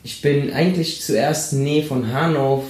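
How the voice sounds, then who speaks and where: A man in his twenties from Colombia, a dance coach speaking as a guest in a casual interview, relaxed and hesitant, often starting with "äh". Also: fairly high pitch, a little slow. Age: 20s. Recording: roomy echo.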